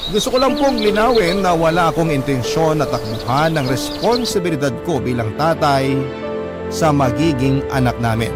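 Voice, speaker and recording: A man's voice: mid-range voice, close to an everyday voice. A man voicing a young, soon-to-be father who confesses his regrets in an earnest, polite radio drama narration. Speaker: letter sender narrating his story.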